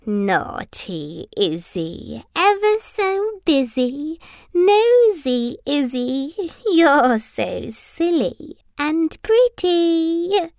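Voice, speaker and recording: A woman voicing a menacing living doll creature in a storybook, taunting in a rasping, gravelly voice. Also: high voice, very animated, a little mellow, dry recording, studio quality, voice pushed up, heavily acted. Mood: taunting sing-song. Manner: slow, drawn-out menace.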